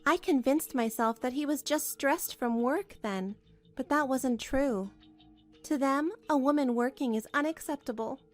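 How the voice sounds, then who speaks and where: A woman narrates a scripted manga drama in the first person. Her voice is high-pitched and expressive, telling the story over background music. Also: unhurried. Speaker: narrator and voice actor.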